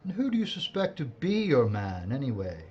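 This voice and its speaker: Actor as stern chief inspector, lively pitch, dull sound. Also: male voice, normal speed, breathy edge. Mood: unconvinced, stern. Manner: clipped, formal, reproving. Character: stern, skeptical British police chief inspector. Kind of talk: period mystery audio drama acting.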